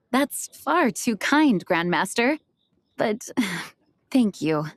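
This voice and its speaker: A woman's voice: a little high, big pitch swings, very slow, heavily acted. A woman playing a dutiful, modest young knight officer in an anime game, speaking politely and formally. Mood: touched.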